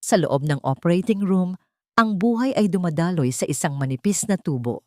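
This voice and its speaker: Woman reading a drama story, kinda low voice, solemn n measured, super dry recording. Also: animated, no echo. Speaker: audiobook narrator. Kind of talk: dramatic scripted story narration.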